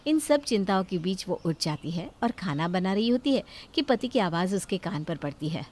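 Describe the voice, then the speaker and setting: A woman's voice: medium pitch, animated, moderate pace, a little mellow. A woman telling a scripted moral story as a dramatic narrator.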